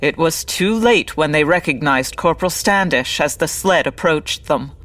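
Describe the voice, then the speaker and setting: A woman's voice: deep voice, normal speed, distant mic, heavy background noise, natural voice. A woman playing the narrator of an old-time radio adventure, with scripted dramatic delivery.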